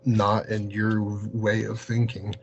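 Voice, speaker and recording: A man chatting casually, haltingly and in a rambling way, leaning heavily on filler words. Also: fairly low pitch, monotone. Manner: halting, rambling, heavy use of "like". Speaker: podcast interviewer. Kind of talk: casual chat. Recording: dull lo-fi mic with hum and hiss.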